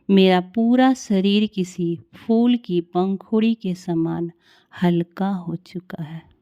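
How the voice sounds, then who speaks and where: A woman leading a guided meditation in a calm, soothing, unhurried way with long pauses. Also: lowish voice, dry recording, muffled recording.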